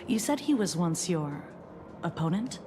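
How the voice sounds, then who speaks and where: A composed female investigator from a video game, with a fairly low voice. She speaks formally and with measured authority, as if delivering an official briefing. Music plays clearly behind her. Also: very animated. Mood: official, grave. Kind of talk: scripted video game dialogue.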